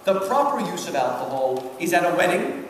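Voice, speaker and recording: In a big, heavily reverberant hall, a man lectures from a distance. His voice is high and swings widely in pitch. He talks emphatically, driving points home to listeners with questions. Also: big pitch swings, brisk. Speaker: lecturer giving commentary on a literary work. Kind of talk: animated public lecture with quoted readings. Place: large hall at a public event. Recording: very echoey hall, far off, faint noise.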